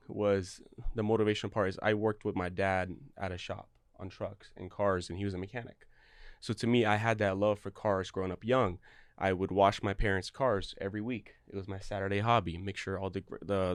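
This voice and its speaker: A man's voice: lowish voice, smooth, no room sound, studio quality. A young man from Ukraine, a guest in a casual interview, talking earnestly and rambling through restarts. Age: young adult. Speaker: guest, real estate broker.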